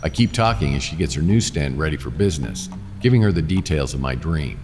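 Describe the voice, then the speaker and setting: Very deep-voiced, deadpan American noir detective. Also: male voice, fairly quick, over music. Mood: wry narration. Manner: dry, sardonic, deadpan. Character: wry, world-weary male private detective. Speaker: narrator and lead actor. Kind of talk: noir radio drama, first-person narration and dialogue.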